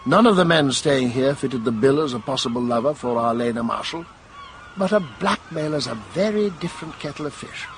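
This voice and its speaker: An actor playing a brisk, businesslike police colonel in a radio mystery, with a gravelly voice and an official, questioning manner. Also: male voice, medium pitch, expressive, a little hiss or hum, natural voice. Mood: brisk, inquiring. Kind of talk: radio mystery drama acting.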